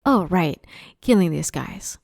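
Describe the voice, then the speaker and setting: Woman acting a dark-toned, playfully sadistic female vampire. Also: fairly low pitch, animated, slow, gravelly, no echo, clean studio sound, full character voice. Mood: playful threat. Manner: teasing, mockingly sweet, menacing, often hushed. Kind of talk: ASMR roleplay, dramatic monologue.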